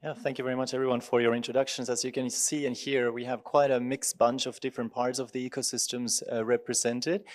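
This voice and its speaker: A man's voice: mid-range voice, flat delivery, fast talker, hardly any pauses. A man moderating a panel discussion, introducing speakers and asking questions in an easygoing way.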